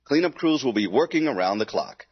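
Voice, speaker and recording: An actor playing a polished, upbeat space news anchor, reading mock TV news with slick, emphatic announcer delivery. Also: male voice, medium pitch, very animated, a little fast, slight background noise, lightly acted. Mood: mock serious. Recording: slightly dull, music behind.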